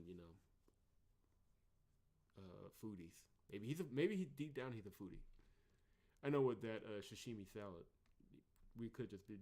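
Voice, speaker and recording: A man hosting a video game playthrough, reading dialogue aloud and adding animated commentary. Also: fairly low pitch. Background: game music behind the voice.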